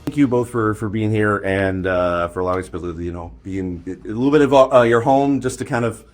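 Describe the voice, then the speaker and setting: A man interviewing someone casually, nervous and halting in how he talks. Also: on the low side, soft background music.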